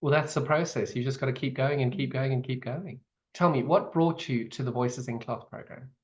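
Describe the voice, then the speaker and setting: A man's voice: mid-range voice, moderate pace, a little mellow. A man from Zimbabwe hosts a chatty interview and reminisces freely with small laughs. His voice comes through slightly dull, lo-fi audio. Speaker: host. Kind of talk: video call interview.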